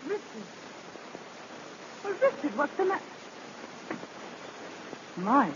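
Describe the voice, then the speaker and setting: High-pitched, anguished wife, old radio. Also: female voice, very animated, slow pace, exaggerated voice. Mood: anxious. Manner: emotional, melodramatic. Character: anguished, loyal wife torn between two men. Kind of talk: 1930s radio drama acting. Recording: muffled, noisy old radio broadcast recording.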